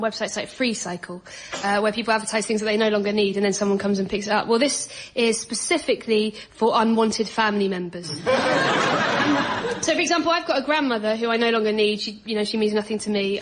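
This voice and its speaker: A woman performing as a comedy panel guest, pitching comic ideas in a hesitant, deadpan way. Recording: noisy live studio audience recording. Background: audience.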